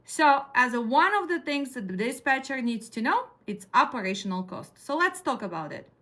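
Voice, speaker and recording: A woman's voice: high voice, big pitch swings, moderate pace, bright, forceful tone. A middle-aged woman with a Ukrainian accent, lecturing a class on trucking costs in a direct way and putting questions to her students. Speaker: dispatch trainer.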